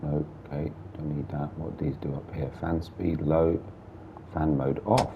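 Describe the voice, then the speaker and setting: Man, very deep and gravelly, blocked-up and hoarse, casual muttering sim-driving commentary over a faint background hiss. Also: little pitch movement, measured pace, phone-quality sound. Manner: chatty, muttering through cab controls. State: sore throat, blocked nose. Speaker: gameplay streamer.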